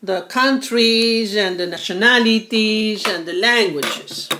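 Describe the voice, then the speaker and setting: A woman from Peru gives an online English lesson over a computer mic. She speaks in a drawn-out, very unhurried way, with a middle-height voice. She keeps pausing to check in with her students, tagging "no?" onto her sentences. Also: medium pitch, pushed and bright. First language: Spanish. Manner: slow and patient, keeps asking "no?". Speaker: English teacher. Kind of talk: online class lecture.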